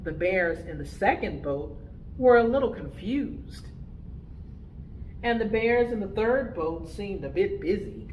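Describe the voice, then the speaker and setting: A woman reading a picture book aloud to preschoolers, with expressive, deliberate phrasing. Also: mid-range voice, echoey room, a little hiss or hum, poor audio. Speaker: storytime reader for preschoolers.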